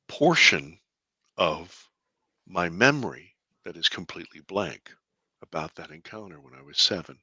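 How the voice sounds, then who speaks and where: Deep-voiced man telling his story earnestly. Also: gravelly, slightly rough, no echo. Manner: earnest, halting with restarts, bursts of excitement. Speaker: filmmaker, interview guest. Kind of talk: conversational storytelling.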